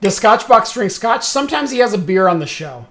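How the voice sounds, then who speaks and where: A man with a high-pitched voice hosts a loose tech and gaming chat. He talks eagerly, trips over his words and restarts, and his voice sounds strained after hours of talking. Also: sing-song, a little fast, fairly bright tone, faint music behind. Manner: enthusiastic, rambling with restarts. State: losing his voice. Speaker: podcast host. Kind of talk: casual chat.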